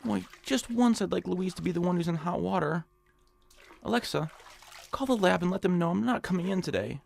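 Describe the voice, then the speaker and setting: An actor playing a nervous, hapless hypochondriac in an audio drama, fretful and stammering. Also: male voice, high voice, lively intonation, a little fast, creaky, slight background noise, heavily acted. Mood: self-pitying, whiny. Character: nervous, whiny nebbish hypochondriac man, eager and hapless. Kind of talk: audio drama acting in old-time radio suspense style.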